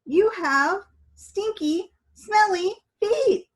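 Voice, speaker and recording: A woman's voice: extremely high pitch, very slow, pitched above the performer's own voice, full character voice. A woman voicing a dainty, dramatic and polite lady character in a children's storybook, with a theatrical manner. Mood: shouting, disgusted. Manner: theatrical, swinging from exclamation to sighing politeness.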